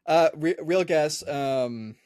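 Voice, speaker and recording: A man in his twenties hosting a podcast, chatting in a relaxed, chummy way with short reactions. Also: on the high side, slow pace, smooth, no room sound, studio quality. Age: 20s. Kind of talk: casual chat.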